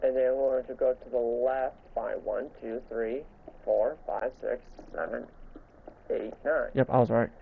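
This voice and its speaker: A man's voice: medium pitch, fairly even intonation, unhurried, gravelly, phone-quality sound. Man with stumbling speech, thin noisy recording. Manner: stumbling, repetitive delivery, stutters at times. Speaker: gaming video host. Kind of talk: casual Minecraft building tutorial commentary.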